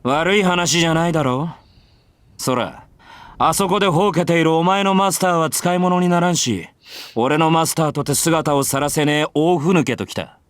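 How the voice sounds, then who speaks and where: A man playing a cocky, battle-hungry warrior in a Japanese visual novel, with rough, taunting, informal speech. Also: fairly high pitch, fast, dry recording, lightly acted. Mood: casual, coaxing.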